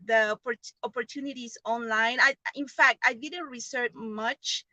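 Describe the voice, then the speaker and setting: A woman with a non-native English accent gives an informal personal testimonial in an earnest, halting way, often repeating phrases. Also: on the high side, fairly even intonation, bright, forceful tone, no echo. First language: not English. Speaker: online business member giving a testimonial.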